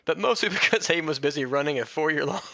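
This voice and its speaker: A man's voice: a little high, lively intonation, fast talker, vocal fry, bright, forceful tone, dull audio, slight background noise. A man hosting a podcast, reading a comic biography aloud with casual, jokey asides and a readiness to laugh. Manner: jokey, often breaking into laughter mid-sentence. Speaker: podcast host.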